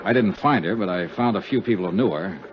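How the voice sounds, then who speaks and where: A man's voice: deep voice, expressive, quick pace, slight background noise. An actor playing a tough, dry male insurance investigator in a 1950s radio detective drama, both narrating and in dialogue. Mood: brisk, businesslike. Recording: dull 1950s radio recording, music behind.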